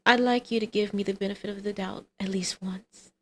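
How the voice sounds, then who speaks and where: A woman's voice: medium pitch, fairly even intonation, brisk, close to an everyday voice. A woman playing a flirty female villain and doting mother in an ASMR roleplay, with a teasing, coaxing, sardonic manner. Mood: pleading.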